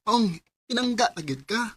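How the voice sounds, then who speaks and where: A man's voice: high voice, animated, normal speed. An actor playing an easygoing, affectionate and oblivious young man in a radio drama. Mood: breezy, affectionate.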